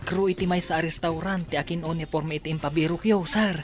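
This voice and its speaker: A woman's voice: sits low, fairly even intonation, fast talker, faint music behind, lightly acted. A woman with an Ilocano accent playing a respectful staff member in a radio drama. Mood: deferential.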